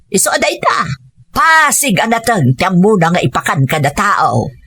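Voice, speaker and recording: A woman with a Filipino accent playing a demanding, grumbling elderly mother with a husky voice in a radio drama. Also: medium pitch, sing-song, normal speed, sharp tone, a little hiss or hum. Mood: scornful, complaining. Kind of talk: Ilocano radio drama acting.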